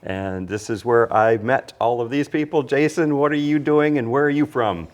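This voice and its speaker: A man's voice: medium pitch, moderate pace. A man from Canada hosting a casual whiskey chat, introducing his guests in a chatty way. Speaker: host. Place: indoors at a teaching academy.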